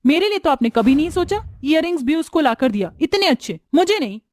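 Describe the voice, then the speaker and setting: Cartoon role: envious young sister-in-law acted by a woman, high-pitched, sulky and impulsive. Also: big pitch swings, a little fast, darkish tone, no echo. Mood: hurt, jealous.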